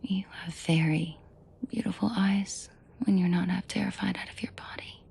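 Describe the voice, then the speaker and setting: A woman playing a menacing, protective and flirtatious mafia boss in an intimate audio roleplay, with a breathy, husky voice and dry sarcasm. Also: fairly even intonation, a little mellow, a little hiss or hum. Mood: soft, flirtatious, protective. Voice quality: breathy, husky low voice with vocal fry. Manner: slow, deliberate, dryly sarcastic, often dropping to a murmur. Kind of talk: intimate audio roleplay acting addressed to the listener.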